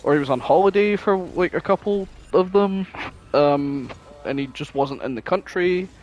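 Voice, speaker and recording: A man's voice: high voice, moderate pace, darkish tone, a little hiss or hum, low-quality recording. A man chatting casually as a podcast co-host, blunt, opinionated and free with swearing. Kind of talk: casual chat, reminiscing.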